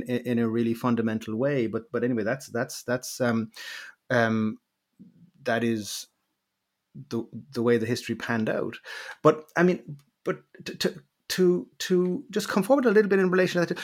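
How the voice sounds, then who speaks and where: An Irish man hosting a podcast interview, speaking haltingly and repeating words as he works out his questions. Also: mid-range voice. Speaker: podcast host.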